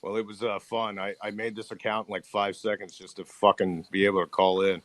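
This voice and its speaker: A man's voice: fairly low pitch, flat delivery, fairly quick. A man calling in to a show and chatting casually. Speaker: caller. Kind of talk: casual chat.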